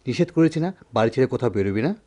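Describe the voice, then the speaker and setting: Stern elder brother, played by an actor: dark mellow male voice, very rapid, brooding, formal, radio drama. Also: medium pitch, expressive, close to an everyday voice. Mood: irritated. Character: stern, brooding older brother of village girl.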